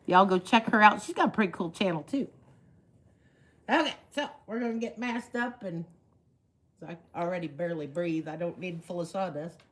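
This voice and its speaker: A hoarse woman, chatting casually while hosting a woodworking livestream and laughing at herself. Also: on the low side, moderate pace, fairly bright tone.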